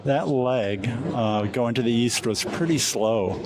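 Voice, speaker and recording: An American man in a casual interview as a pilot, recounting things in a halting way with frequent pauses mid-phrase. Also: medium pitch. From Alaska, USA. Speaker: competition glider pilot being interviewed. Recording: crowd chatter in the background.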